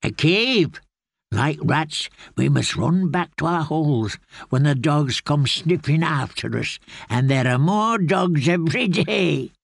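A man voicing a kindly, courteous old man in rags who tends wounds, in a slow, soothing storybook reading. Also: a little high, lively intonation, gravelly, dry recording, studio quality, exaggerated voice. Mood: gentle. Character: kindly old ragged man, gentle healer.

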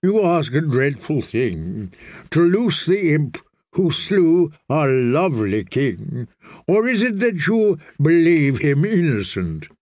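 A man's voice: a little high, big pitch swings, takes it slowly, darkish tone, dry recording. A man doing a simpering, fluttery eunuch. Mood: wary. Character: fussy simpering soft-spoken eunuch man, middle-aged.

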